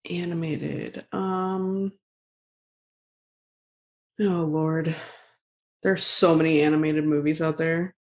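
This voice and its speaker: A woman's voice: low-pitched, level tone, slow, pauses often, a little mellow. A woman chatting casually as a podcast co-host. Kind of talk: casual chat.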